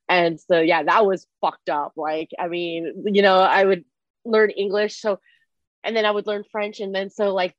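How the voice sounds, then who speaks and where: A young woman raised in Canada, first language Mandarin, talks as an interview guest in a loose, rambling way full of fillers and restarts. Her voice sits a bit low. The sound is dry and a little dull, like a video call from a rented room. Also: dry recording. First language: Mandarin Chinese. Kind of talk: casual interview conversation. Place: Airbnb room.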